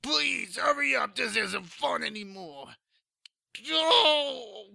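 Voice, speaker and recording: A man's voice: extremely high pitch, lively intonation, slow, creaky, sharp tone, dry recording, studio quality, full character voice. A man playing a cowardly, put-upon demon underling in an audio drama, whiny and indignant. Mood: pained, pleading.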